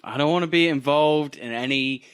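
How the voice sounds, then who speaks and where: A man voicing a bitter, hard-drinking recluse with a ruined life, gruff, irritable and dismissive. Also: a little high, moderate pace, natural voice. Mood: bitter refusal.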